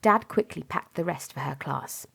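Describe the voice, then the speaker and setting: A woman reading a children's storybook aloud in an expressive, dramatic way, softening to a hush at times. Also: low-pitched, moderate pace, gravelly, slightly rough. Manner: animated, dramatic delivery with softened hushed moments. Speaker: storybook reader. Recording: music behind the voice. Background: music and story sound effects.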